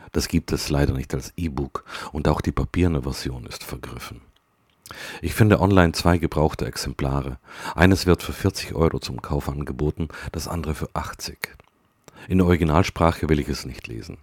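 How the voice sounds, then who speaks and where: A man with a very low, husky voice talks through his thoughts like a spoken diary. He speaks in measured, reflective sentences that fade softly at the ends. The recording is close and dry, with no room sound. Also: dry recording. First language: German. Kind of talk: diary-style personal monologue.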